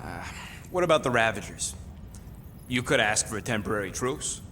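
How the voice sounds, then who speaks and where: An actor voicing a small, cynical, wisecracking raccoon-like mercenary in a space video game. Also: male voice, fairly low pitch, expressive, moderate pace, pushed and bright, a little hiss or hum. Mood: sardonic, grim. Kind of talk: cinematic video game acting.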